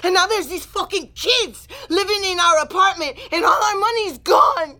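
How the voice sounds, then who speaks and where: A woman's voice: very high-pitched, moderate pace, pushed and bright, full character voice. An actress playing a bitter, foul-mouthed woman just out of prison, in naturalistic audio drama with slangy, emphatic delivery. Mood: distraught, furious. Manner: streetwise, slangy, profane, emphatic.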